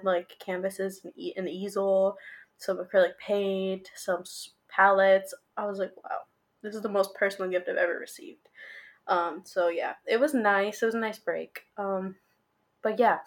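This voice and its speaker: A young woman with a stuffy, congested voice chats alone to her listeners as a podcast host. She rambles loosely, apologizes a lot and fills gaps with ums. Her pitch sits in a middle range for a woman. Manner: rambling and self-deprecating, with many fillers. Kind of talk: casual solo chat. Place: home room, recorded on video.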